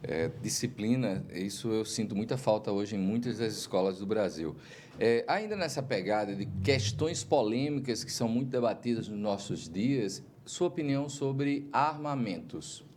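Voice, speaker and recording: A man from Brazil hosting a podcast interview, asking measured, leading questions with some hesitant starts. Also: fairly low pitch, lively intonation, a little mellow, slight background noise. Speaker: podcast host.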